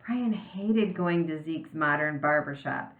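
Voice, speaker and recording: Woman, expressive children's story reader, lowish pitch, roomy echo, thin band-limited sound. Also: takes it slowly, phone-quality sound. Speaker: reader of a children's novel aloud. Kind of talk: expressive read-aloud storytelling.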